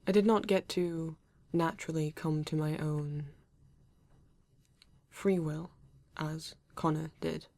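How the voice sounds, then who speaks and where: A woman with a British accent playing a formal, reserved male android detective in an ASMR roleplay, speaking stiffly and deliberately with halting pauses. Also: sits low, fairly even intonation, warm tone, no echo. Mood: guarded, halting. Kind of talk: ASMR roleplay addressed to the listener.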